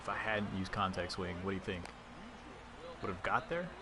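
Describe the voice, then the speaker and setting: Low-voiced man chatting on a game stream. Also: normal speed, crowd noise. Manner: chatty, reads and answers chat while playing. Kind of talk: casual live-stream commentary.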